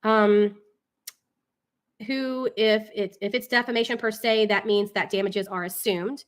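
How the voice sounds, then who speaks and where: A woman's voice: medium pitch, steady tone, a little slow. A woman hosting a casual live talk show, reading documents and commenting in an exasperated, opinionated way.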